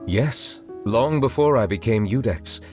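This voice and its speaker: A man playing a formal, dignified chief judge in a video game, measured, earnest and courteous. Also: medium pitch, expressive, darkish tone, soft background music, natural voice. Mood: solemn.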